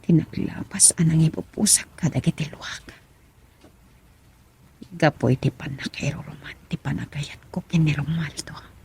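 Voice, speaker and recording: Scheming lovesick woman, whispery radio drama monologue, very husky, breathy voice. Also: deep voice, steady tone, normal speed, a little mellow, exaggerated voice. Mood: brooding.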